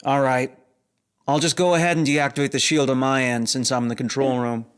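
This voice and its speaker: Guy playing a space security officer, smooth clear voice, kinda halting and easygoing, sci-fi audio drama. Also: medium pitch, level tone, close to an everyday voice. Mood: casual, brisk. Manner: halting, casual.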